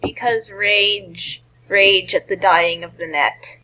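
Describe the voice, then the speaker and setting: A woman's voice: mid-range voice, fairly even intonation, slow pace, pushed and bright, low-quality recording. A woman hosting casual, riffing commentary with friends over an online voice call. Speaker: commentary host.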